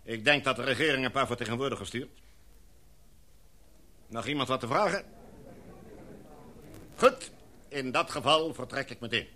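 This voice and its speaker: A man's voice: mid-range voice, sharp tone, lightly acted. Een man die in een hoorspel een formele, gezaghebbende expeditieleider speelt en afgemeten, redenaarsachtig spreekt. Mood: resolute. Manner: formal, oratorical, measured. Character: authoritative, formal expedition leader, a knighted gentleman. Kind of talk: radio drama acting.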